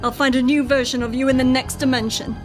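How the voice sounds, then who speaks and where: An actress playing a bitter, volatile, sarcastic wife from another dimension in a radio drama. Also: female voice, sits high, level tone, fast talker, loud music behind the voice, lightly acted. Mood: scornful.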